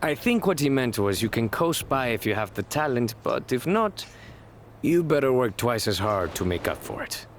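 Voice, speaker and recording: An actor playing a friendly, sincere, reflective wrestler in a video game cutscene. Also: male voice, mid-range voice, sing-song, moderate pace, a little hiss or hum, close to an everyday voice. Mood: earnest, friendly.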